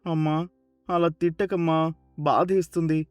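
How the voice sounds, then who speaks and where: A man's voice: fairly high pitch, level tone, unhurried, smooth, no echo, faint music behind, lightly acted. A man playing a naive, childlike grown son who speaks in an innocent, plaintive way in a dramatized story. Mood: hurt, pleading.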